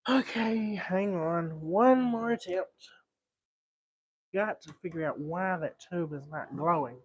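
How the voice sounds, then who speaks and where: A man with a fairly high voice talks very slowly, as if thinking out loud. He speaks casually in short, muttered fragments, like a livestream host narrating a hands-on repair to himself. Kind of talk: casual live repair commentary, thinking aloud.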